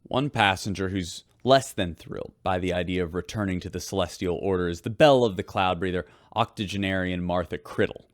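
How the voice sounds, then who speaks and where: An actor playing a wry, weary spaceship radio host, reporting in a measured way in a sci-fi audio drama. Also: male voice, low voice, no echo, clean studio sound, lightly acted. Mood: weary, concerned.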